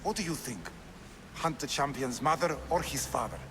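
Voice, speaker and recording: A man's voice: mid-range voice, lively intonation, moderate pace, natural voice. An actor playing an impatient, scheming male cultist who argues in a declamatory way in a video game cutscene. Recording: long hall reverb, noisy ambience.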